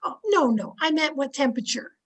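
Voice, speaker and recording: A woman's voice: high-pitched, expressive, brisk. A woman playing an agreeable, dithering lady friend with hesitant delivery in old-time radio comedy style. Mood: puzzled, dithering.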